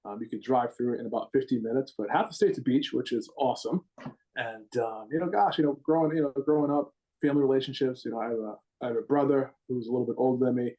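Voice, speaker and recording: Middle-aged man, Rhode Island accent, warm low-key tone, rambling coach guest who restarts his sentences. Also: fast, low-quality recording. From Rhode Island, USA. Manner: rambling, repeats words and restarts often. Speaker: gymnastics head coach, guest. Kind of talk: podcast interview.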